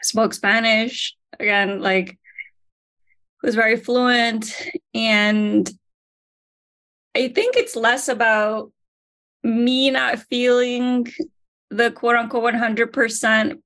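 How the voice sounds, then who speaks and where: A woman from the United States chatting as a podcast co-host, telling stories quickly with frequent fillers. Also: a little high. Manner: rapid, animated storytelling with many fillers and laughs. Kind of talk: casual chat.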